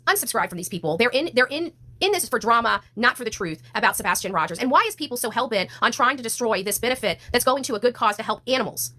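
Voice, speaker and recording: American lady streamer ranting super fast, sharp bright voice, blunt n indignant, slangy. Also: fairly high pitch. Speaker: livestream host. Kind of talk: casual livestream rant, replying to chat.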